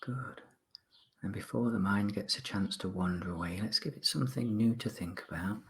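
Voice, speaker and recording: Low-voiced man leading a guided meditation. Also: vocal fry. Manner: instructional, polite, pausing between short phrases. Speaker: mindfulness and relaxation instructor. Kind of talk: guided body scan meditation.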